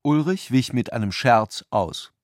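A man as a measured, ironic narrator in a literary radio drama, reading in a deliberate, wry way. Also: mid-range voice, animated, no echo, studio quality, close to an everyday voice.

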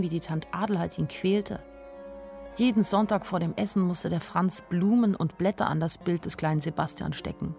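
A woman's voice: lowish voice, darkish tone, close to an everyday voice. An actress playing a sensitive young woman who tells her own story in an intimate, literary radio drama narration. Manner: literary, intimate first-person telling.

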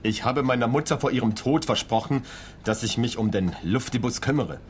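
A man's voice: mid-range voice, brisk, a little hiss or hum, lightly acted. An actor as an earnest, hesitant male client. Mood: earnest, bargaining. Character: earnest, worried male client, frugal ordinary working man. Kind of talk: radio drama dialogue.

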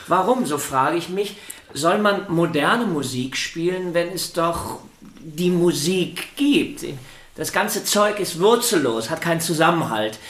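A gentle violin virtuoso in a radio drama, with a fairly high male voice. He is deferential and earnest, hesitating and doubling his words in his eagerness to be polite. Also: expressive, a little slow. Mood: earnest, opinionated. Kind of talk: German radio play acting.

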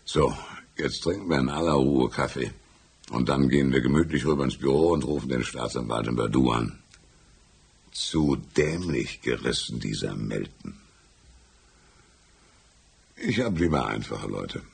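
Old country constable in a german radio play, super low husky voice, folksy, shrewd, takes his time. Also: lively intonation, unhurried, pauses often, heavily acted. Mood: wry, genial. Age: older. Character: folksy old male small-town constable, shrewd and easygoing. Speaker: voice actor. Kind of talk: German radio drama dialogue.

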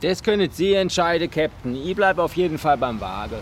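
A reserved soldier-driver in a wartime radio drama, acted by a man. His voice is a little high, with clear street noise around it. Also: expressive, a little fast, close to an everyday voice. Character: reserved army driver, deferring to his captain. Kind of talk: German radio play acting.

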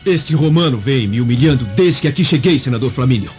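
Defiant, enraged slave male actor for a radio play, animated pitch, music underneath. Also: quick pace, close to an everyday voice. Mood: indignant. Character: furious, wronged slave, hot-blooded and defiant. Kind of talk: dramatized audio novel acting. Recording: dull lo-fi radio drama recording.

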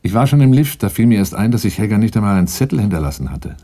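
A very low male voice narrates a radio crime drama. He is wary and matter-of-fact, with a soft, dark tone and a husky edge. His delivery is measured and sober. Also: very animated. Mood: sober, tense. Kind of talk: radio drama acting, first-person narration and dialogue.

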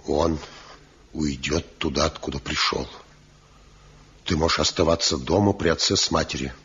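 A man's voice: a bass voice, normal speed, a bit bright, husky, heavily acted. An actor playing a pious elder master craftsman in a 1970s radio play, earnest and reproachful as he pleads. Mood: pleading. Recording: dull lo-fi old radio recording.

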